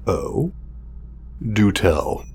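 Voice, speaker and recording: A man playing a proud, exacting craftsman of android companions in an audio drama, speaking in a formal, measured, condescending way. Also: lowish voice, big pitch swings, a little mellow, exaggerated voice. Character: pompous male craftsman of artificial companion drones, exacting, proud. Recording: clear background noise, sci-fi lab ambience.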